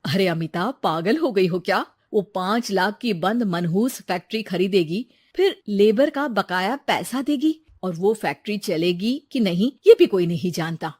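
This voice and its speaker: A woman voicing a frank, brisk middle-aged seamstress who talks in a firm, practical way. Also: mid-range voice, animated, darkish tone, dry recording.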